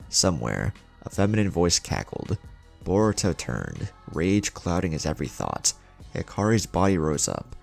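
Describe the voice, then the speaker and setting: A man's voice: low voice, creaky. A man hosting a story channel, reading scripted fan fiction in a dramatic narrator's delivery.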